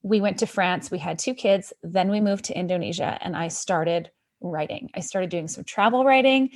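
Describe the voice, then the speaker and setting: A woman guest, an author and educator, chatting lively about her own story in a podcast interview. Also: lowish voice, normal speed. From Canada.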